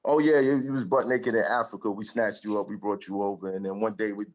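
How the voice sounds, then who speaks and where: A man's voice: lowish voice, fairly even intonation, fast, dull audio. A man speaking as a guest in casual talk, earnest and reflective, often repeating words as he searches for them. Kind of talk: casual chat.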